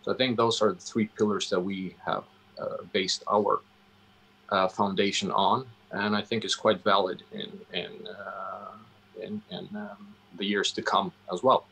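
Low, creaky, halting Swedish man. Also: level tone, bright, forceful tone, rough recording. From Sweden. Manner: halting, deliberate, searching for words. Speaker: interview guest, coffee roastery founder. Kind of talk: interview answers on a video call. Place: indoor room, on a video call. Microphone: video call microphone.

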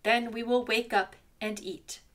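A woman voicing a big, fat, lazy male bear in a spoken folktale, with a deep gruff growl, loud and slow. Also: steady tone. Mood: gloating.

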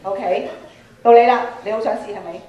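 A woman's voice: mid-range voice, normal speed, lo-fi sound, very noisy. A middle-aged woman with a Hong Kong Cantonese accent, leading an interactive workshop for students and prompting them with questions. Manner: instructive, prompting with questions and tag particles. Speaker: radio drama workshop speaker. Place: large indoor auditorium, on and before the stage. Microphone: handheld microphone through PA. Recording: roomy auditorium PA sound.

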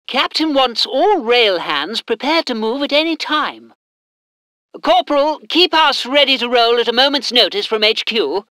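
A woman's voice: a little high, animated, measured pace, pushed and bright, dry recording, clean studio sound. A woman voicing an eager, dutiful British army train crew soldier in a military strategy game, with clipped military reporting. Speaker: game voice actor.

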